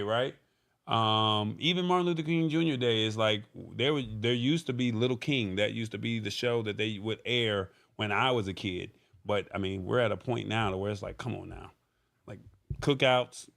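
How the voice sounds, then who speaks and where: A man's voice: fairly low pitch, vocal fry, fairly bright tone. A man hosting a live show in casual talk, conversational and thinking aloud as he goes. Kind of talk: casual livestream talk, reading viewer comments.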